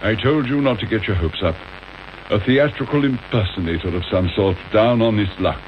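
Man acting a low, sardonic British gentleman. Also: expressive, natural voice. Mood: reassuring. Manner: sardonic, measured, formal. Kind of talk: radio play acting. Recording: dull, hissy old radio tape recording.

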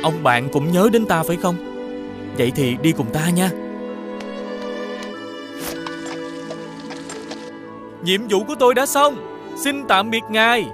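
Man as earnest young fairy-tale prince, high lively voice, cartoon dubbing, music behind. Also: moderate pace, lightly acted. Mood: polite, firm. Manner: earnest, expressive.

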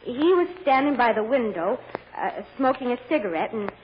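Actress as earnest, high-voiced chambermaid witness. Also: female voice, animated, normal speed, lightly acted. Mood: insistent, earnest. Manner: hesitant, plain-spoken answers. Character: earnest, deferential hotel chambermaid testifying as a witness. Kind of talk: radio courtroom drama acting. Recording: muffled vintage radio recording with clear noise.

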